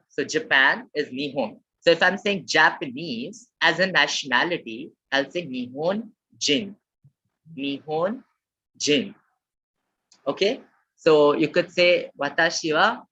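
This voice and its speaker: A woman from Maharashtra, teaching a live online Japanese lesson. She repeats key phrases slowly and keeps checking in with the chat. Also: sits low, fairly bright tone, a little hiss or hum. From Maharashtra, India. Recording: dull lo-fi webinar audio.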